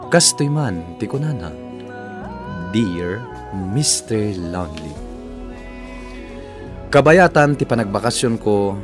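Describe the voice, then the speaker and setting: Casting: male Filipino radio host, lowish voice, scripted letter reading, prominent music bed.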